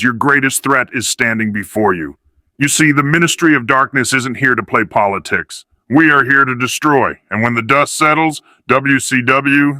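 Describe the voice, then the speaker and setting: A man voices a sinister wrestling overlord with a very sharp, forceful edge. He speaks in grand, ominous threats in a scripted game promo. The sound is dry and close. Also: medium pitch, no room sound. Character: menacing dark wrestling villain, leader of a dark ministry.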